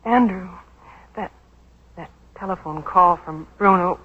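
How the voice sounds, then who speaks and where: Polite young female secretary, bright and hesitant, noisy vintage radio drama. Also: lowish voice, unhurried. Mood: uneasy. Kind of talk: 1940s radio drama acting. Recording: muffled, noisy vintage 1940s radio broadcast.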